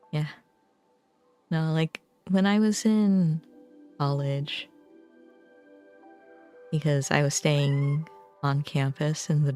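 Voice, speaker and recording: A young adult woman chatting casually on a livestream, musing informally in short, halting phrases. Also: low-pitched, darkish tone. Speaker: game streamer. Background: background music.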